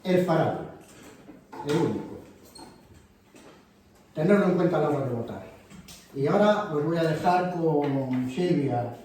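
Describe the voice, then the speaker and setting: Man organizing a local meeting, mellow voice, rambling and indignant, captured from afar in a very echoey hall. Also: a little high, a little slow, pauses often, slight background noise. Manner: animated, rambling, indignant asides. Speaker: event organizer. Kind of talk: addressing a live audience. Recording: very echoey hall, distant mic.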